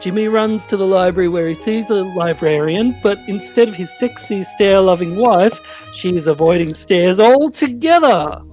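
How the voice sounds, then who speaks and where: A woman's voice: mid-range voice, lively intonation, moderate pace, hardly any pauses, darkish tone, faint music behind. A woman narrating a comic radio play.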